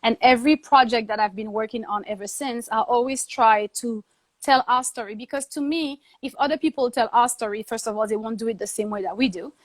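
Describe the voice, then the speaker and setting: A woman's voice: fairly high pitch, fairly quick, no echo. A woman, a TV producer and journalist, telling her story as a guest in a casual interview and acting out her own past thoughts as quotes.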